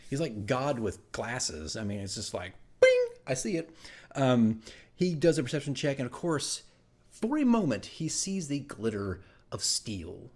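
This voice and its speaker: A man telling the story of his tabletop game session as dungeon master, in a casual, animated, rambling vlog style. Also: mid-range voice. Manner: animated, rambling asides with acted-out exclamations.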